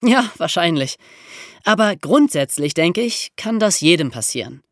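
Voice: mid-range voice, big pitch swings, unhurried, fairly bright tone, no room sound, studio quality. A podcast co-host talking conversationally to young listeners.

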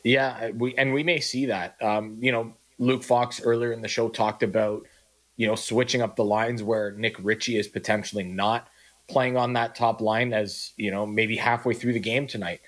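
A man's voice: on the low side, level tone, moderate pace, fairly bright tone. A man co-hosting a sports radio show, chatting casually and reading out listener texts on a studio mic. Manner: conversational, reads listener texts.

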